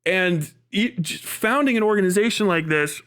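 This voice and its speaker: A male livestream host with a notably high-pitched voice delivers casual, ranting commentary. He is emphatic and swears freely, building his argument by posing questions and answering them himself. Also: lively intonation, normal speed, fairly bright tone, clean tone.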